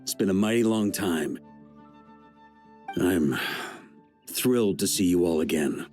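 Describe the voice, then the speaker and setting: A seasoned knight commander from a fantasy game, low in pitch and widely varied in intonation. He is warm and steadfast, with a reflective, easygoing weariness. Clear music plays behind him. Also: expressive, slow pace. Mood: warm, relieved. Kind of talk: English video game voice acting. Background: background music.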